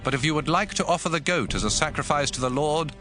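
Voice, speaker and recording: A man voicing the Lord's angel, measured and solemn, in a dramatized Bible reading. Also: fairly high pitch, music in the background, pitched above the performer's own voice, natural voice.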